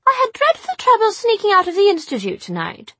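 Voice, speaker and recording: A haughty young society girl for an audiobook. The voice is extremely high and swoops dramatically, breathy and affected, full of coquettish airs. It is recorded close and dry. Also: huge pitch swings, a little fast, fairly bright tone, no room sound, heavily acted. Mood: haughty, indignant. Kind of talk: audiobook character dialogue.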